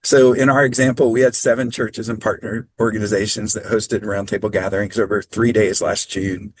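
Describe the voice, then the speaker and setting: A man presenting on a video call training, speaking in a measured, practical way with frequent ums. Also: lowish voice, little pitch movement, breathy edge, no room sound, rough recording. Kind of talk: online training talk.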